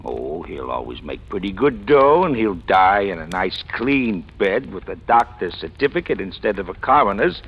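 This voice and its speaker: Gravelly, low-voiced old-radio hoodlum. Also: male voice, big pitch swings, normal speed, hardly any pauses, full character voice. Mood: musing, blunt. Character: talkative, self-deprecating ex-convict stick-up man, cheerfully fatalistic. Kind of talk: 1940s-50s radio crime drama acting. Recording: muffled, hissy old radio recording.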